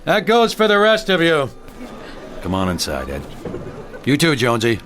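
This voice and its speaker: An actor playing a firm small-town sheriff in a radio drama, blunt and full of authority. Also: male voice, fairly high pitch, wildly swooping pitch, moderate pace, background noise. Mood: commanding.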